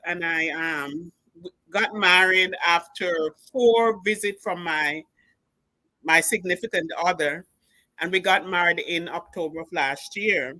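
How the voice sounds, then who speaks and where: A woman calls in and explains her case in a halting way, with many pauses and fillers. Her voice sounds bright and clear. The sound is dry and close. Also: on the low side, no room sound, low-quality recording. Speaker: caller. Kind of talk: call-in question.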